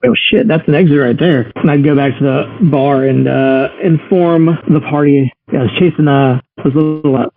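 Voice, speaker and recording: A husky-voiced man playing a tabletop game, casually role-playing over muffled, phone-like voice chat. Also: a little high, moderate pace, hardly any pauses, no echo. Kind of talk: casual in-character role play.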